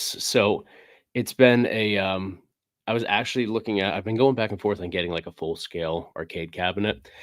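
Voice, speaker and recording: A man's voice: low-pitched, dry recording, studio quality. A man hosting a casual livestream from his game room, talking loosely with lots of fillers while still getting over a cold. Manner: rambling, filler-heavy, reads chat aloud. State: recovering from a cold, sniffling. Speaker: livestream host. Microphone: desk microphone.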